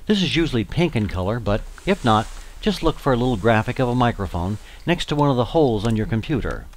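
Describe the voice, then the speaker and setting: A man giving scripted, step-by-step instructional narration as a tutorial narrator for volunteers. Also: fairly low pitch.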